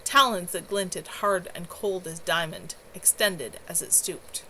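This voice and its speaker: A woman's voice: lowish voice, a little slow, pushed and bright, rough recording. A woman narrating fantasy fiction as an amateur author reading her own story aloud. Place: at home. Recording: clear background noise, home livestream.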